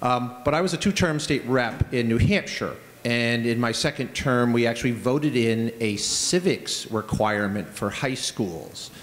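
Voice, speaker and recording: Man, middle-aged, confident and loud, handheld mic, reverberant hall. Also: mid-range voice, unhurried, few pauses. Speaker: audience member asking a question. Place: large conference ballroom. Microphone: handheld mic in the audience.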